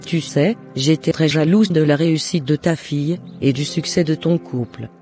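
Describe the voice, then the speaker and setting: Man voicing a high-pitched jealous neighbour woman. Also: moderate pace, darkish tone, soft background music, close to an everyday voice. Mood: remorseful confession. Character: jealous, scheming woman neighbour turned remorseful.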